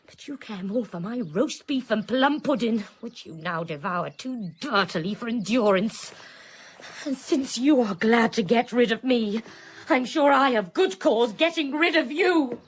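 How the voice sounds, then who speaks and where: A woman's voice: on the high side, lively intonation, measured pace, slightly rough. An indignant period lady. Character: proud, wounded 18th-century lady, indignant. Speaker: actor in a radio drama.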